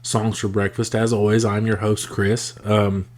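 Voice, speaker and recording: A man hosting a podcast, talking casually and thoughtfully with a rough, congested, scratchy voice from a cold. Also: lowish voice, flat delivery, unhurried, a little mellow. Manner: reflective, thoughtful, with frequent fillers. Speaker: podcast host. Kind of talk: casual solo podcast talk.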